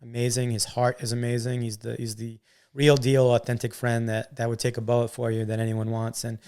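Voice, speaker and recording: A man's voice: medium pitch, level tone, brisk, a little mellow, clean tone. A man talking as a podcast guest, earnest and upbeat, repeating words and using many fillers. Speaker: guest, entrepreneur. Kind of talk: casual interview chat.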